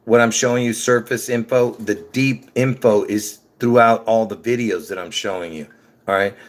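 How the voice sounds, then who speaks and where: A man's voice: on the low side, steady tone, moderate pace. A man from Lebanon giving a rambling monologue to his viewers, restarting sentences often and addressing them directly. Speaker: conspiracy video creator. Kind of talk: rambling casual monologue to camera.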